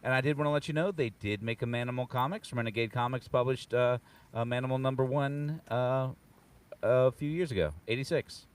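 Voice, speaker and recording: A man's voice: medium pitch, brisk, clear, resonant tone. Distant-miked man chatting on a panel. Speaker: panelist and streamer. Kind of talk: casual chat.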